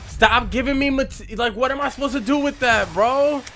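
A young man streaming a horror game from his room, reacting loudly with constant swearing and trash talk. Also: very high-pitched, moderate pace, soft background music. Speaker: gamer streamer. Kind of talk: live gameplay reactions. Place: small room at home, indoors.

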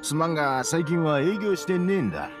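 A man playing an earnest, aggrieved caravan merchant in an anime game, with gruff colloquial wording and emphatic delivery. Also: a little high, sing-song, fairly quick. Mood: troubled. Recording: game music behind the voice.

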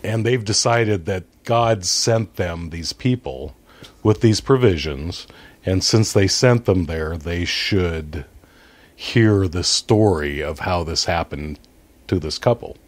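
A man talking casually as a podcast guest, explaining things in a halting way and correcting himself as he goes. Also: sits low. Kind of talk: casual conversational movie discussion, retelling a story.

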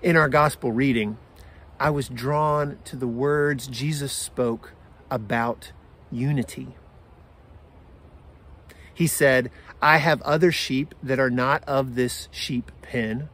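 An American man in his forties preaches as a pastor. His voice sits at an ordinary male pitch, and he takes his time, setting down short measured phrases with deliberate pauses between them. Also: fairly even intonation, a bit bright. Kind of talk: sermon for an online service. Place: outdoors in a garden.

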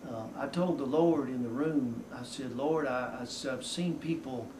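A middle-aged man giving an earnest, halting spoken testimony as a minister, seated in a living room. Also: medium pitch. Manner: earnest, halting with many restarts. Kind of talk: testimony sermon on TV broadcast. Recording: roomy, distant camcorder sound, faint hiss.

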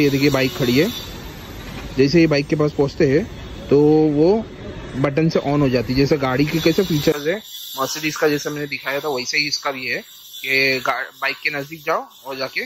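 A chatty man, talking a bit high as he shows things off in a casual outdoor vlog. He records himself on a phone in a city parking lot. Traffic and street noise sit clearly behind his voice. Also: steady tone, moderate pace, low-quality recording. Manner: chatty, playful rhyming greeting. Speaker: vlogger. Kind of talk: casual vlog, showing a motorbike. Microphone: phone camera, handheld or at arm's length.

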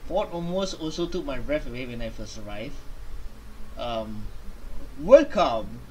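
A young man giving casual, halting game commentary and reading text aloud, repeating words as he goes. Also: medium pitch, lively intonation, breathy edge, poor audio. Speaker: gaming streamer reacting to a game. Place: indoor room. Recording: faint game music behind.

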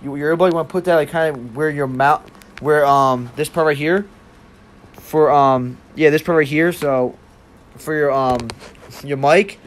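A young man giving a casual app tutorial in a rambling, halting way, often repeating himself. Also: a little high, steady tone, slight background noise. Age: young adult. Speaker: tech tutorial presenter.

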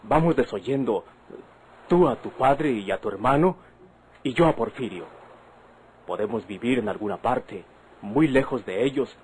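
An actor with a Mexican accent playing an earnest young husband, a bandit's son, persuading his wife in measured, formal radio-drama diction. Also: medium pitch, animated, a little mellow, natural voice. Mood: pleading, earnest. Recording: noisy vintage radio drama recording.

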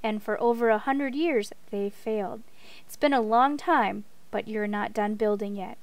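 A woman's voice: medium pitch, moderate pace. A woman reading game text and notes aloud for a gameplay video.

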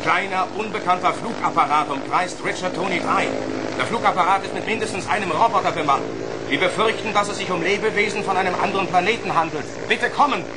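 A man's voice: high voice, fast talker, very noisy, close to an everyday voice. A man playing an anxious radar tower operator in a radio play, giving formal, urgent reports. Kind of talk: radio-communication acting in children's radio play.

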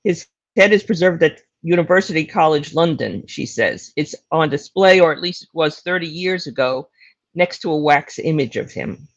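An older woman hosts a casual live interview. Her voice is creaky and gravelly, and her delivery is conversational. It comes through a video call with somewhat dull, lo-fi sound. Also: low voice, lively intonation, normal speed. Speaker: interview moderator.

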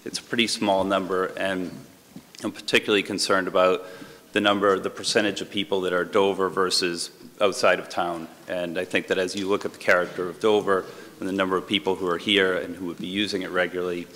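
A middle-aged man speaks up from the audience at a town hearing. His voice is very low and flat, with a bright, forceful edge. His measured, polite comment carries a long hall reverb. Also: slight background noise. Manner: measured, polite, with frequent ums. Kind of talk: public comment at a town meeting. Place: large hall, standing in the audience.

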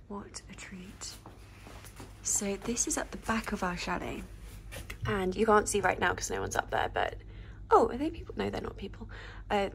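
Young woman, medium pitch, gushing vlog chat, phone mic, faint room hum. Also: vocal fry. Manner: gushing, enthusiastic, many likes and ums. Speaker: lifestyle vlogger. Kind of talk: casual chatty vlog to camera. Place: indoors in a ski chalet.

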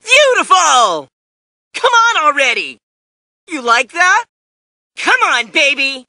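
An actor playing a cocky, excitable young male superhero in a fighting game, brash and showy with shouted catchphrases. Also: extremely high pitch, slow, dry recording, clean studio sound, full character voice.

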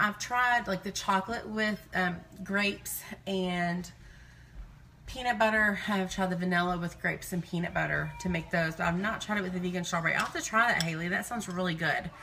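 A woman's voice: on the low side, normal speed, gravelly, sharp tone, slight background noise. A woman in her thirties chatting casually and digressively to live viewers as she records in her home kitchen. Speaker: live-streaming vlogger and meal-prep host.

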